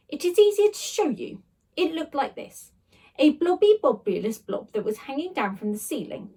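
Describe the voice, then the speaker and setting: A woman reading a children's story aloud like a teacher, with dramatic, expressive delivery and emphatic sound words. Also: sits high. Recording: voice a little distant from the microphone.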